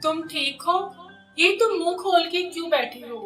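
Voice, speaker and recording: A woman voicing a feisty, bargaining male heron in an animated children's fable. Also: very high-pitched, moderate pace, soft background music. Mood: concerned, curious. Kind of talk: children's cartoon voice acting. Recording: distant, echoey voice recording.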